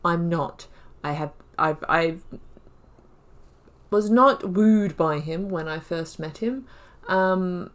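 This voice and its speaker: A woman's voice: fairly low pitch, slow, pauses often. A young woman giving casual let's-play commentary, chatting and thinking aloud about her choices in the story. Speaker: gaming video creator.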